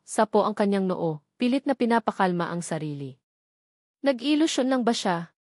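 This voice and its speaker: A woman's voice: medium pitch, darkish tone, no echo, clean studio sound. A woman with a Filipino accent reads a dramatic romance story as scripted narration.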